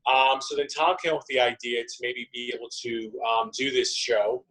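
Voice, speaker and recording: Guy guest on an interview, medium pitch, casual, explains stuff with lots of little stops. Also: steady tone, moderate pace, fairly bright tone, poor audio. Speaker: interview guest, business manager.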